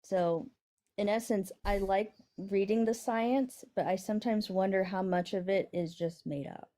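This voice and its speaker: High-voiced woman in her forties, hesitant. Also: unhurried, mellow. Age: 40s. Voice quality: high-pitched voice. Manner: hesitant, self-doubting. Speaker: caller. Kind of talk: casual debate conversation.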